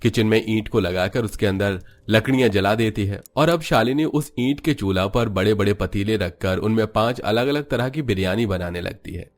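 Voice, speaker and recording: A man's voice: lowish voice, moderate pace, hardly any pauses. A man narrating a Hindi cartoon story in a scripted storytelling style.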